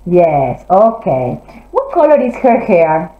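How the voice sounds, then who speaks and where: Lively woman teaching English. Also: fairly low pitch, slow. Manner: encouraging, prompting and correcting gently. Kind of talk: interactive classroom lesson with children. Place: classroom, indoors. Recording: roomy, slightly dull classroom audio.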